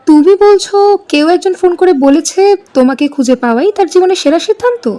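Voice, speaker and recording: A woman's voice: extremely high pitch, animated, quick pace, warm tone, some chatter behind. An actress playing a teasing, romantic young female friend in an audio drama. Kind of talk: audio story drama acting.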